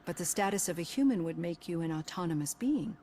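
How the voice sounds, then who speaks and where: A woman acts out an analytical psychologist in a video game. Her voice is on the low side, composed and measured as she probes her patient with careful questions. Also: animated, dark tone, a little hiss or hum, lightly acted. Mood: measured, probing. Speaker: therapist. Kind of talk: scripted game dialogue.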